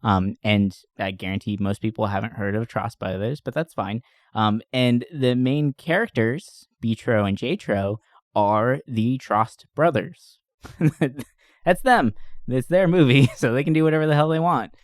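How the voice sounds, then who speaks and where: A man's voice: medium pitch, unhurried, no room sound. Man casually rambling, very dry recording. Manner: rambling, heavily profane. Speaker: podcast host. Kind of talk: casual movie discussion.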